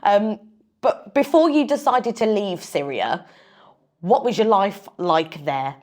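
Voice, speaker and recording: A woman's voice: mid-range voice, animated, slow, a little mellow. A woman in her mid twenties from England, hosting an interview podcast and asking questions in an encouraging way, often repeating words. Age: 20s. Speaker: podcast host. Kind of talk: casual interview talk.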